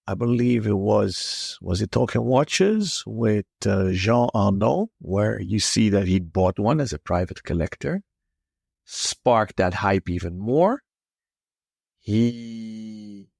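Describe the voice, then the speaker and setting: Give me a male podcast co-host who talks slowly and thoughtfully, pausing as he goes. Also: medium pitch, creaky, a little mellow, no room sound, clean studio sound. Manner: measured, thinking aloud with pauses. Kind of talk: casual chat.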